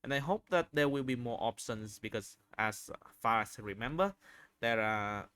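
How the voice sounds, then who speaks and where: Bright-voiced man giving halting commentary. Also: medium pitch, clear, resonant tone. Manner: halting, searching for words, repeats phrases. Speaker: video host reviewing game screenshots. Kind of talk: unscripted commentary.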